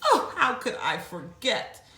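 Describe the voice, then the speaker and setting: A kindly, forgetful storybook elephant, played by a woman, exclaims in a high, very animated voice. She is dramatic and flustered, drawing her words out slowly in an echoing room. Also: heavily acted. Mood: excited, kind. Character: forgetful, tearful, kindly female elephant, huge creature. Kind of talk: storybook character voice.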